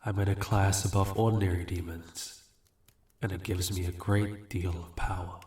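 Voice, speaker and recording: A man playing a smug, manipulative archdemon in a fantasy audio roleplay, talking down to the listener in a deliberate, smooth-talking way. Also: sits low, fairly even intonation, darkish tone, close to an everyday voice. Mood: haughty, commanding. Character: smug, weary, manipulative male archdemon bargaining for contracts. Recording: roomy, echoing voice recording.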